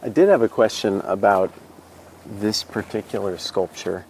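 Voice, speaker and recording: A man's voice: on the low side, lively intonation, moderate pace, a little mellow, a little hiss or hum, poor audio. A man hosting a show, asking curious, reflective questions while being shown around a monastery garden. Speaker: host interviewing a monk. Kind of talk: curious casual interview.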